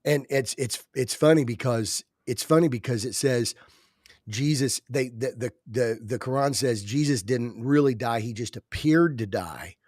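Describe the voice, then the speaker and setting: A man's voice: mid-range voice, moderate pace, darkish tone, no room sound, studio quality. Stuffy-nosed man arguing earnestly. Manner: many restarts, earnest arguing. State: stuffy nose. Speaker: podcast co-host. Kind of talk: casual religious discussion.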